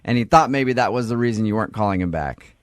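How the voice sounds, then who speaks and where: A man's voice: lowish voice, animated, quick pace, no echo, studio quality. A man with an American accent hosting a morning radio show, bantering and interviewing callers.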